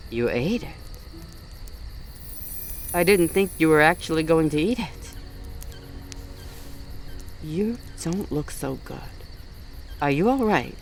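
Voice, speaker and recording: A man playing a wary fae guard of the Autumn Court, stern but soft-hearted, in a roleplay audio drama spoken straight to the listener in an accusing, wry manner. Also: on the high side, creaky, full character voice. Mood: worried.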